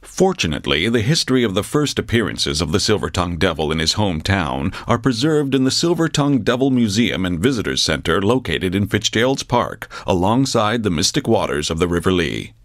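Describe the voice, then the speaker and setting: A grandiose male documentary narrator. His low, widely modulated voice is pompous yet deadpan, recorded very dry, in mock-documentary style. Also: fairly quick, few pauses, no echo.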